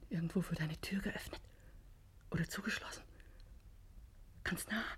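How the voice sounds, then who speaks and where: An actress playing an anxious, frightened woman in a 1950s German radio play, hesitant and often hushed, on a noisy old recording. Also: fairly low pitch, moderate pace, in a whisper. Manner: hushed, breathy, hesitant pleading. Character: frightened, anxious wife in a lonely manor.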